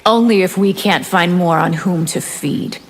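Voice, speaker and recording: A woman's voice: lowish voice, unhurried, lightly acted. A woman playing a haughty, hungry vampire from another realm, cold and seductive in a video game. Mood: defiant. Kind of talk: video game fight intro banter. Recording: game audio with background noise.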